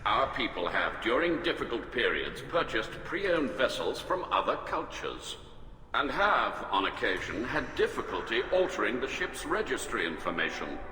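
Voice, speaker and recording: An actor playing a pompous, prickly middle-aged alien admiral in a video game, formal and haughty. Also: male voice, mid-range voice, big pitch swings, sharp tone, slight background noise. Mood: defensive dignity. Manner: formal, haughty, deliberate wording. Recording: echoey hall, music behind.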